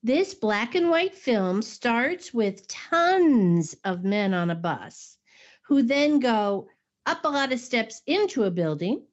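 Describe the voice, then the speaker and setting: Slow, measured woman storyteller. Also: fairly high pitch. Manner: measured storyteller, sighs and trails off. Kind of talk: casual chat and film recap. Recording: dull lo-fi sound.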